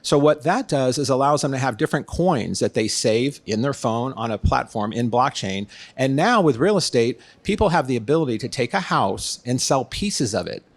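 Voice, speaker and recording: Middle aged american guy, interview guest, average pitch, explains stuff in a chatty salesman way. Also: lively intonation, normal speed, few pauses. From United States, San Diego, California. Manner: explanatory, uses everyday analogies. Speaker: guest, real estate consultant and former executive.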